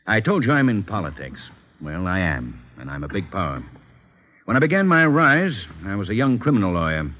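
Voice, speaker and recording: An actor playing an earnest, dignified older politician and former judge, speaking gravely and deliberately in an old radio drama. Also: male voice, low-pitched, big pitch swings. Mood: grave, candid. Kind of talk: 1940s radio acting. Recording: dull old radio broadcast recording.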